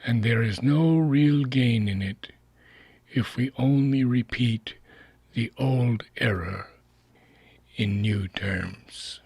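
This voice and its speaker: A man reading philosophical prose aloud in a deliberate, solemn way, phrase by phrase with pauses. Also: medium pitch, gravelly, a little mellow, slightly rough, no echo. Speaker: reader of a spiritual philosophy book. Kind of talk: measured audiobook-style reading.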